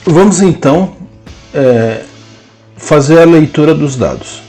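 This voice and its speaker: A man with a Brazilian Portuguese accent teaching a programming lesson, dictating code symbol by symbol with hesitant pauses. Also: a little high, lively intonation, mellow, faint music behind. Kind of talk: slow screen-cast coding lesson, dictating code aloud.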